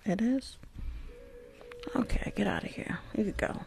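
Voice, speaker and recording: A woman's voice: mid-range voice, measured pace, vocal fry, a little mellow, a little hiss or hum. A woman voicing a rude, impatient, gum-chewing New York school nurse in an ASMR roleplay, repeating herself in a brusque, mock-soothing way close to the microphone. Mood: sly, weary. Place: small bedroom. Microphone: close furry-covered condenser microphone.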